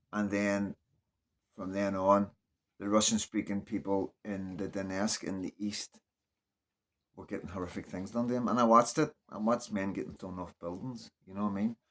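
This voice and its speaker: A man from Northern Ireland with a Northern Irish accent gives casual, unscripted political commentary in an opinionated, conversational way, often checking in with the listener. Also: lowish voice.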